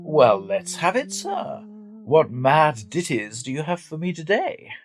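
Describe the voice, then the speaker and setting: An actor playing a genial, earnest visiting gentleman in a period radio drama, polite and coaxing in manner. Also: fairly high pitch, very animated, unhurried. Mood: jovial.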